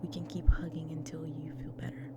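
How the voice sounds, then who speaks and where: Woman acting a low, breathy, tender vampire wife. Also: level tone, natural voice. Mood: soothing, somber. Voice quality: breathy, often near whisper. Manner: tender, slow, soothing. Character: gentle, protective vampire wife consoling her husband. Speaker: audio roleplay performer. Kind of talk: intimate audio roleplay, soft acting. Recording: noisy track with loud ambient sound.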